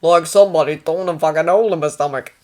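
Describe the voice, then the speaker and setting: A woman's voice: low-pitched, fast talker, warm tone, close to an everyday voice. A weary, wounded Australian army captain, acted by a woman reader, speaks in a blunt drawl. He is sardonic and sparing with words, a man from a wartime audiobook.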